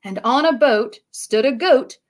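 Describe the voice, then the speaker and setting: Woman, highish voice, children's librarian, expressive picture-book reading, direct questions to young listeners. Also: a little slow.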